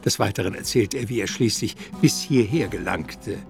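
A man's voice: lowish voice, normal speed, gravelly. A man reading scripted narration for a radio drama, setting out the action in the present tense. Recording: loud music behind the voice.

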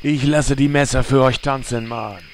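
A man's voice: mid-range voice, fairly even intonation, moderate pace, slight background noise, lightly acted. A man playing an easygoing troll rogue with a casual, slangy way of talking. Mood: laid-back. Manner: casual, ends sentences with "Mann". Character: laid-back male troll rogue with knives.

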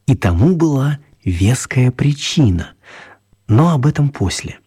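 A man narrating a children's radio story in a measured, expressive storytelling manner. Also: medium pitch, slightly rough.

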